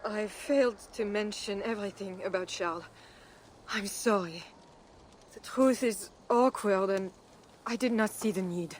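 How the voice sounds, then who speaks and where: An actress playing a dignified, devout Frankish queen in a video game, speaking in a measured, formal, earnest way. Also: mid-range voice, slight background noise, natural voice. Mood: regretful. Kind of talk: dramatic video game acting.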